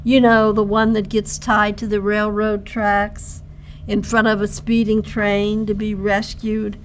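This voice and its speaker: An older woman reading a personal memoir in a measured, reminiscing way. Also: medium pitch, monotone, a little hiss or hum, rough recording. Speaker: storyteller.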